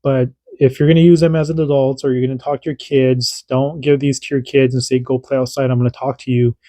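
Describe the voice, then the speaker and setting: A man's voice: medium pitch, flat delivery, fast talker, mellow, no room sound. A man hosting a casual radio hobby tutorial, chatty and repetitive, doing radio checks as he demonstrates. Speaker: radio hobby channel host.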